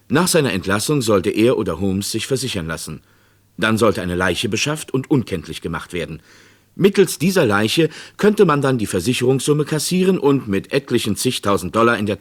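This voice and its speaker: A man narrating a scripted true-crime radio play in a sober, measured, documentary-like manner. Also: fairly low pitch.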